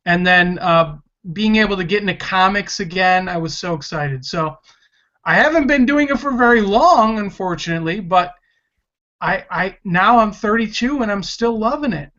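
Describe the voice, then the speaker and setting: A man's voice: high-pitched, expressive, moderate pace, a bit bright. Eager thirty-something man, lo-fi sound. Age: 30s. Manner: enthusiastic, rambling with restarts. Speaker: podcast host. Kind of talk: casual podcast interview. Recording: dull lo-fi sound.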